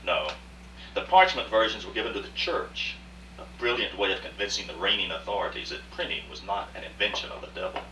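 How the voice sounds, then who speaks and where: Man playing cultured older collector, proud, formal radio drama delivery, highly animated, echoey distant rehearsal tape. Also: a little fast. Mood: refined pride. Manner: formal, theatrical, articulate. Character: proud, cultured older male art collector, self-justifying. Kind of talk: live radio play acting. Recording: roomy, distant, faint hum, old rehearsal tape.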